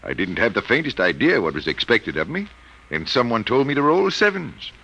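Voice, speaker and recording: A man's voice: mid-range voice, sing-song, a little fast, creaky, pushed and bright, breathy edge, dull audio, exaggerated voice. An American actor playing a mild, middle-aged college professor troubled by his own power, speaking formally and hesitantly in 1950s radio drama style. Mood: earnest. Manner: formal, precise, hesitant wording.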